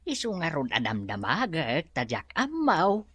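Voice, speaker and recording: A woman's voice: sits low, wildly swooping pitch, unhurried, fairly bright tone, breathy edge, dry recording, exaggerated voice. A woman with an Ilocano accent playing a worried subordinate who pleads with a boss in a radio drama. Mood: nervous. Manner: anxious, pleading, reproachful. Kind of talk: Filipino radio drama acting.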